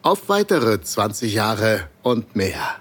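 A man playing a devoted, romantic husband, affectionate and gently commanding, in an intimate audio drama. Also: on the low side, sing-song, slow pace, breathy edge, exaggerated voice.

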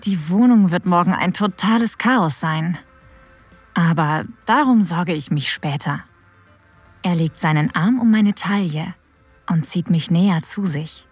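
A woman playing a flirtatious, adventurous narrator of an erotic audio drama, with a breathy voice that often drops toward a whisper. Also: on the low side, measured pace, no room sound, faint music behind. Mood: intimate, anticipating. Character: flirtatious, adventurous woman telling her own erotic story. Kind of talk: intimate first-person scripted narration.

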